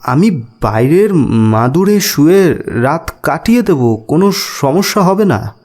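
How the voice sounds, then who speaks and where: A man's voice: fairly high pitch, very animated, a little slow, darkish tone. A man voicing a shy, uneasy traveller in a ghost story, speaking politely and hesitantly. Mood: flustered. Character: shy, uneasy male traveller sheltering for the night.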